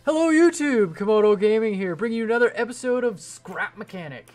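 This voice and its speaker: A man's voice: sits high, expressive, a little fast, a little mellow, soft background music, pitched above the performer's own voice. A man doing an impression of another gamer's upbeat YouTube video intro, announcer-like. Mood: peppy.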